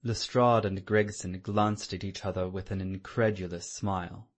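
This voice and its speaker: A man's voice: sits low, steady tone, normal speed. A man reading scripted literary narration as the narrator of an audiobook.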